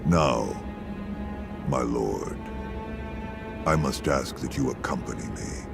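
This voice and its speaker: An actor playing a scheming old shinobi in a video game, slow and mock-courteous with a gravelly voice. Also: male voice, very deep voice, heavily acted. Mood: sly menace. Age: older. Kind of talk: dramatic video game acting. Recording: music behind the voice.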